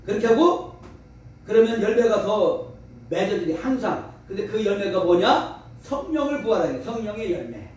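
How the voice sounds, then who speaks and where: A man's voice: high voice, sing-song, normal speed, darkish tone. A man preaching and praying at a revival meeting in an emphatic, exhorting manner. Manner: emphatic, exhorting, with rhetorical questions. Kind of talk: fervent revival sermon and prayer. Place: large echoey room at a revival meeting. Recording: very echoey, distant mic, faint noise.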